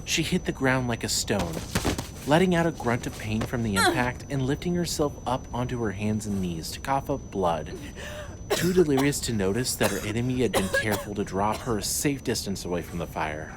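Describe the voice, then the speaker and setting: A man's voice: mid-range voice, expressive, moderate pace. A man narrating a scripted horror story as its storyteller. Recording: clearly noisy ambient background.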